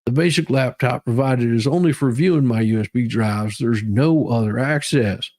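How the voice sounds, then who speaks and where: A man giving a mock-dramatic reading as a demanding woman inmate pleading her own case, with halting, emphatic delivery. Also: mid-range voice, a little mellow, close to an everyday voice. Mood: insistent.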